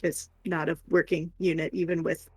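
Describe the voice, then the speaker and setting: A woman's voice: deep voice, flat delivery, normal speed, darkish tone, breathy edge, dry recording. A woman chatting casually and candidly as a livestream co-host, rambling informally.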